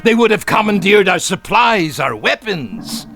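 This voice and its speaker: A man's voice: high voice, big pitch swings, measured pace, gravelly, bright, forceful tone, soft background music, exaggerated voice. A man playing an arrogant, sneering former Nazi camp captain who is unraveling, in theatrical radio drama style. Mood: bitter, scornful. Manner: theatrical, sneering, agitated outbursts. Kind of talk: classic radio drama acting.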